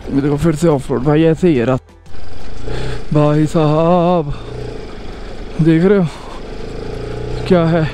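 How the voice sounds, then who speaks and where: A man with an Indian accent giving casual, excited running commentary while riding a motorbike on a rough mountain road. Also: fairly high pitch, slow, pauses often, faint music behind. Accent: Hindi-speaking Indian. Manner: excited exclamations, chatty. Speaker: motovlogger.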